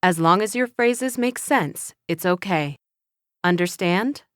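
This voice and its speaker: A woman playing an encouraging but firm English teacher, speaking slowly and carefully in a scripted classroom dialogue. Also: lowish voice, expressive, a bit bright, clear, resonant tone, dry recording, studio quality. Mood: instructive. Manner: slow, careful, instructive delivery.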